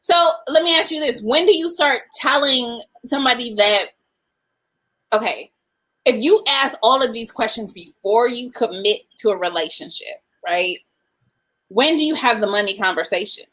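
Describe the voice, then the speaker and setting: A woman's voice: on the high side, normal speed, bright, forceful tone, rough recording. A woman co-hosting a podcast, asking questions and pushing back with skepticism in casual chat. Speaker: podcast co-host.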